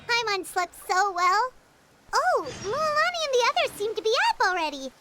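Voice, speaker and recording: A woman voicing a tiny, childlike female fairy companion in a video game, squeaky, cutesy, cheeky and excitable. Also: unhurried, noisy background, full character voice. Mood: startled, excitable. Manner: speaks of self in third person, cutesy. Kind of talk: video game character dialogue.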